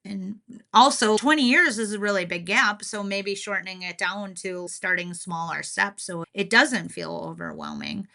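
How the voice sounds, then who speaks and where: Woman, podcast co-host, bright forward voice, casual reflective talk, agreeable, self-deprecating. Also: medium pitch, expressive, moderate pace, vocal fry.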